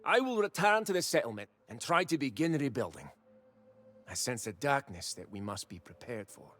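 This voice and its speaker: An actor playing an earnest, grateful settlement leader in a fantasy game, with a heartfelt delivery. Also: male voice, medium pitch, sing-song, normal speed, a bit bright. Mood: grateful, sombre. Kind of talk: dramatic fantasy game acting.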